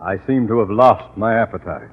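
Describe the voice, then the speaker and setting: A man's voice: lowish voice, fairly quick, husky. An American man playing a pompous, self-important middle-aged boss and father in old radio comedy. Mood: curt, wounded. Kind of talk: 1940s-50s radio comedy acting. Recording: noisy, muffled old radio recording with audience.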